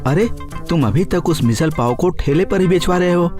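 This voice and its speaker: A man voicing a snobbish wealthy business client in an animated story, with a haughty, critical manner. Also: on the high side, moderate pace, faint music behind, lightly acted. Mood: chiding, eager. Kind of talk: animated moral story dialogue.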